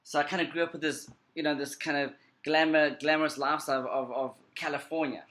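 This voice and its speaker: A man's voice: fairly high pitch, fairly even intonation, quick pace, fairly bright tone. A man from South Africa with a South African accent, whose first language is Creole Portuguese, talking as an interview guest in an earnest, reflective, conversational way with emphatic repetition. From South Africa and Cape Verde. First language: Cape Verdean Creole Portuguese. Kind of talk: conversational personal storytelling.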